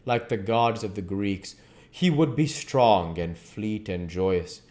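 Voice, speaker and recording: A man reading literary fiction aloud in a deliberate, theatrical way. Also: on the low side, darkish tone. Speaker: reader, channel host. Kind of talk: literary audiobook-style reading.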